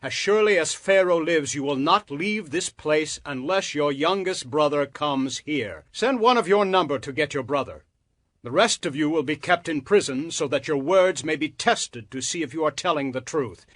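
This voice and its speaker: A man's voice: fairly high pitch, animated, moderate pace, fairly bright tone, no room sound. An actor playing an authoritative governor in his thirties, speaking formally and emphatically in dramatized scripture. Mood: harsh, accusing. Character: stern, authoritative male governor Joseph, thirties.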